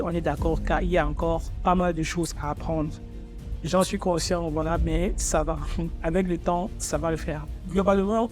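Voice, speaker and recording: A young man in his twenties with a fairly high-pitched voice speaks informally to his viewers, as a student vlogger would. He is from Africa and a native speaker of English. Faint music plays behind him. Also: darkish tone. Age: 20s. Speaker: architecture student presenting his own channel. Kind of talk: personal vlog, talking to viewers.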